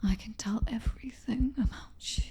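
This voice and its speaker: A woman's voice: fairly high pitch, close to an everyday voice. Woman voicing a whispering, seductive British vampire mistress. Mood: taunting, possessive. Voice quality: breathy whisper. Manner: slow, drawn-out, taunting endearments. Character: cruel, possessive vampire mistress, seductive and menacing. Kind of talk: ASMR roleplay, whispered close to the listener.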